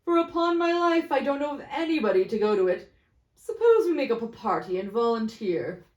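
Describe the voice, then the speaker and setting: Storybook fat businessman woman acting him out: loud, breezy, callous, often pitched up, roomy echo. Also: very animated, brisk, muffled recording. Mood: flippant. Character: big fat, flippant, callous gentleman of business. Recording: echoey, roomy sound.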